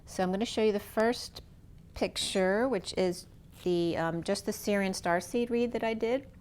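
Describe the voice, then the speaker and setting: A middle-aged woman giving a spiritual tarot reading in a slow, dreamy, free-associating way, her voice a little worn as she is losing it. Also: medium pitch, a little mellow, clear, resonant tone. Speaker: tarot reader.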